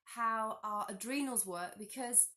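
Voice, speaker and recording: A woman, a little hoarse from a cold, talking casually to a phone camera in her living room, chatty and animated, often restarting her sentences. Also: a little high, unhurried. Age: 40s. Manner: chatty, animated hand gestures, frequent restarts. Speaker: health vlogger. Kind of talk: casual explanatory talk to camera. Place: living room at home, indoors.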